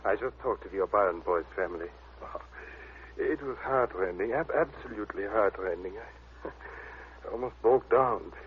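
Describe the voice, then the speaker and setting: A man's voice: lowish voice, normal speed, close to an everyday voice. A man playing a pompous, flustered quack doctor in old radio comic style, formal, effusive and stammering. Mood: effusive, unctuous. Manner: effusive, stammering, nervous chuckles, formal wording. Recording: tinny, noisy old radio broadcast.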